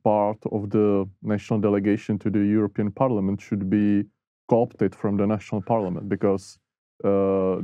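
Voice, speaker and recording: Hesitant male interviewer, mellow low voice. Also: clean tone, no echo, highs cut off. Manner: hesitant, many fillers, careful phrasing. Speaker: podcast interviewer, researcher. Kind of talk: interview questions.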